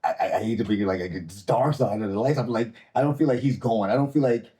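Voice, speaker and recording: A male actor talking casually after a reading, thoughtful and halting with many restarts. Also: medium pitch, warm tone. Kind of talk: casual discussion.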